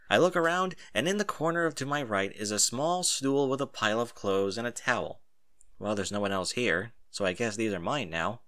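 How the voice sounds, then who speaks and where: Animated man reading a story aloud. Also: lowish voice, dry recording, clean studio sound. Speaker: audiobook narrator. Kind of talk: first-person story narration.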